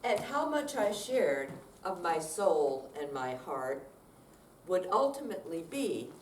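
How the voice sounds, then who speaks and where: Older woman, lowish animated reading. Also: takes it slowly. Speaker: readers theater performer. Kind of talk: slow dramatic reading in live performance. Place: senior center room, mic at a distance. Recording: echoey room, distant, faint noise.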